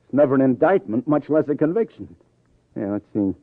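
Casting: a wry, slang-talking American police detective for a vintage radio crime drama, middle register, narrow tinny sound. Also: male voice, mid-range voice, very animated, fairly quick, no echo. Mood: wry, confident. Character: wry, hard-boiled New York police detective. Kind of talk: 1940s-50s American radio drama acting.

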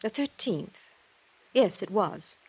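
A woman's voice: mid-range voice, animated, slow pace, darkish tone, husky, heavily acted. An actress playing a poised, reserved well-to-do wife in her late thirties, formal and guarded, in a 1950s radio drama. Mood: composed, reticent.

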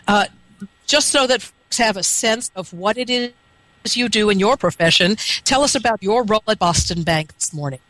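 Female host, scratchy but bright, dry close mic, brisk upbeat delivery. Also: mid-range voice, no room sound. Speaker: radio show host. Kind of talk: hosting an interview.

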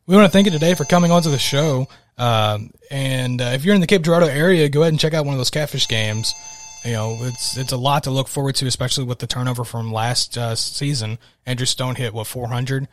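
A man hosts a sports podcast, thanking his guest and running through an upbeat, promotional sign-off. He talks quickly, moving from one plug to the next at a brisk clip. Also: mid-range voice, slight background noise. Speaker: podcast host. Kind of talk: podcast interview wrap-up.

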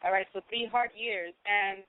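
A woman's voice: mid-range voice, steady tone, normal speed, no echo. Woman host on tinny, phone-like audio. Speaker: show host, interviewer. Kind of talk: casual interview chat.

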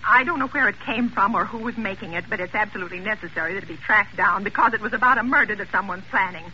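A woman's voice: mid-range voice, fast, pushed and bright. An actress playing a frantic, self-important married woman in an old radio drama, stammering and indignant as she talks haughtily on the telephone. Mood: anxious, insistent. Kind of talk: golden age radio drama acting. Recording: vintage radio broadcast, muffled, hissy.

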